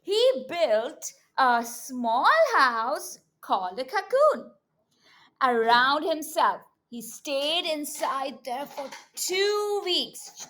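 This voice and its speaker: A woman reading a story aloud to young children in an animated, teacherly way, often prompting them with questions. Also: sits high.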